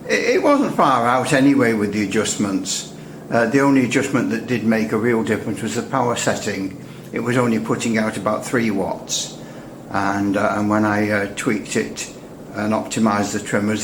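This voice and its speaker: A man's voice: fairly low pitch, moderate pace, background noise. A man gives a casual, unscripted technical demonstration in a chatty, rambling, hesitant way with dry humour. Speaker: radio hobbyist presenting a repair demonstration. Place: indoors at a workbench. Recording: echoey room.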